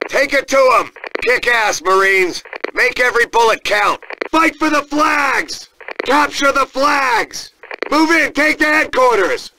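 An actor playing a fierce American Marine sergeant, barking commanding announcements in a military video game. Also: male voice, very high-pitched, measured pace, creaky, sharp tone, slight background noise, full character voice, rough recording. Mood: urgent, fired up. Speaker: multiplayer announcer.

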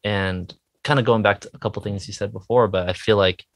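Low-voiced man chatting, hesitant and self-deprecating. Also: steady tone, a little fast, vocal fry, dry recording. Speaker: musician guest, indie rock songwriter. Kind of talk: casual interview chat.

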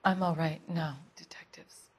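Hushed, slow-speaking shaken wife. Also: female voice, sits low, steady tone, noisy background. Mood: shaken, puzzled. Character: shaken, subdued wife questioned by detectives. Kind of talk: video game acting, dramatic dialogue.

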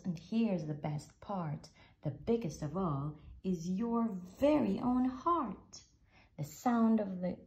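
A woman slowly reading a rhyming picture book aloud, with an expressive read-aloud manner. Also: vocal fry. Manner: slow, expressive read-aloud with lively pitch. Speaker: storybook reader.

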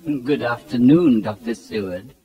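A sly, deranged male asylum patient in a radio drama. He speaks slowly with erratic, cackling delivery. His pitch leaps about restlessly. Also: lo-fi sound.

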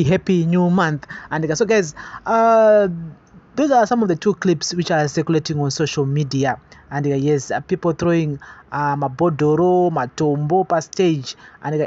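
A man's voice: fairly high pitch, darkish tone. A man with a Zimbabwean accent hosting a reaction commentary, speaking hesitantly and repeating himself. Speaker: online gossip channel host. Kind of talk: news reaction commentary, mixing languages. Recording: dull, lo-fi voice-over.

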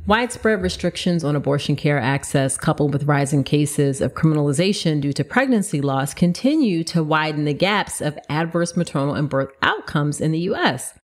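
Low-pitched, slightly stuffy woman podcast host. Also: expressive, normal speed, few pauses. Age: middle-aged. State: slightly stuffy nose from allergies. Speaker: podcast host and OB-GYN doctor. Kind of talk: scripted solo podcast narration with conversational asides.

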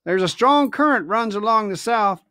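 A crafty one-legged old pirate cook, put on by a male reader, wheedling and folksy in his sailor's talk. The voice rides high and a little louder than the narration, close and dry. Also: animated, moderate pace, no echo. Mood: sly.

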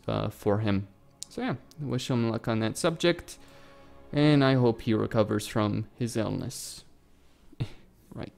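A man's voice: medium pitch, vocal fry. A man with a Romanian accent, a gaming YouTuber talking bluntly and swearing freely in casual commentary over gameplay.

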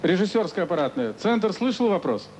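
A middle-aged man hosting a live broadcast from a large studio with a handheld mic, formal and measured. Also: high voice, expressive, a little mellow, faint music behind, low-quality recording. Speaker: TV presenter. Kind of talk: broadcast moderation.

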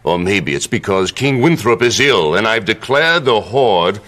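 An actor playing a scheming middle-aged royal advisor in a campy video game cutscene, mock-polite and gloating with a theatrical drawl. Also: male voice, lowish voice, big pitch swings, a little slow, fairly bright tone. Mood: mock-polite menace.

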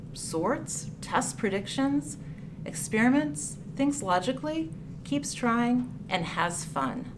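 Lively woman reading a children's storybook. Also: fairly high pitch. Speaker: librarian reading a storybook aloud. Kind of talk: read-aloud picture book for children. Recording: clear background noise, room ambience.

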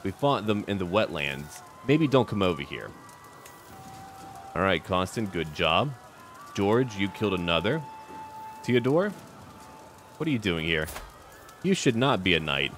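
Male game host, low voice with lively pitch movement, theatrical roleplay delivery in clipped statements, music underneath. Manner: theatrical, short declarative remarks, talking to characters. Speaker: gaming video host. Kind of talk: first-person roleplay commentary on a strategy game.

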